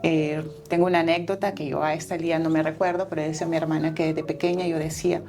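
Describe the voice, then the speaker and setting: A woman's voice: low-pitched, flat delivery, fast talker, darkish tone, faint music behind. A Salvadoran woman in her forties, a guest entrepreneur telling her life story in an interview, earnest and reflective, with fillers and self-corrections.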